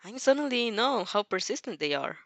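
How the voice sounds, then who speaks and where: A woman's voice: fairly high pitch, sing-song, brisk, fairly bright tone, no room sound. A woman voicing a naive, eager young vampire princess who is earnest, wide-eyed and easily amazed, in an audio story.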